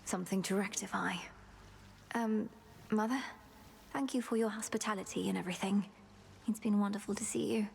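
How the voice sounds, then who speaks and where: Woman as creaky-voiced young underworld princess. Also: medium pitch, steady tone, brisk, long pauses, darkish tone, long reverb tail, slight background noise, natural voice. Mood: earnest, tender. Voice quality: gravelly creak. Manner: composed, earnest, formal. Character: young, dutiful underworld princess and witch, earnest. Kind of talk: video game dramatic acting.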